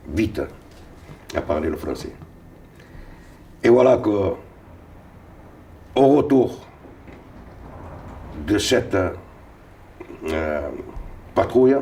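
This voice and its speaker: An older man from Tunisia, Sicilian by first language, tells his memories in a fairly low voice. He rambles, repeating words and starting over mid-sentence. He sits a little away from the microphone, so his voice sounds slightly distant. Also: mellow, a little hiss or hum. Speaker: interviewee. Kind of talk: recounting memories. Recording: voice a little far from mic.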